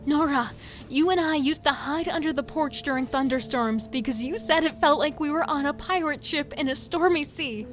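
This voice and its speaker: Casting: woman playing a lost, insistent middle sister in horror drama, high voice, urgent and pleading. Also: normal speed, faint music behind, lightly acted. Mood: earnest, pleading. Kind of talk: audio horror drama acting with narration.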